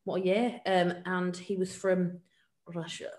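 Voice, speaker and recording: A woman's voice: lowish voice, little pitch movement, a little slow, a little mellow. A woman co-hosting a casual podcast, telling a case from her notes in a joking, giggly way. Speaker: podcast co-host presenting a case. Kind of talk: casual true-crime podcast chat. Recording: roomy echo.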